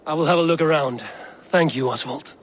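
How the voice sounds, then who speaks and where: An actor playing a cool, self-assured Viking raider with a dry, taunting wit in a video game. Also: male voice, a little high, fairly quick, a little hiss or hum, lightly acted. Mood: calm, assured. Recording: game audio with music behind.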